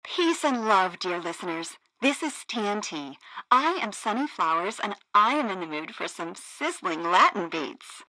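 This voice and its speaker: Perky, bright hippie radio DJ. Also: female voice, mid-range voice, big pitch swings, moderate pace, dry recording, poor audio. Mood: upbeat, breezy. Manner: perky, breezy, theatrical. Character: breezy, perky female hippie radio co-host. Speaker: radio co-host. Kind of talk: upbeat radio DJ patter.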